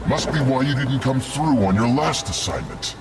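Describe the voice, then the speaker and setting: An actor playing a calm, threatening villain leader in a video game. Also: male voice, medium pitch, normal speed, noisy background, natural voice. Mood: menacing. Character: cold menacing male villain leader. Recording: game score under the voice.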